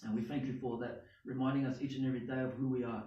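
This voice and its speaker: A hoarse Australian man leading a small congregation, preaching and praying in a halting way with many restarts. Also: mid-range voice, little pitch movement, lo-fi sound. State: hoarse, losing his voice. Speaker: congregation leader. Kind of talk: sermon and spoken prayer. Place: small indoor synagogue. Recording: echoey room from far off.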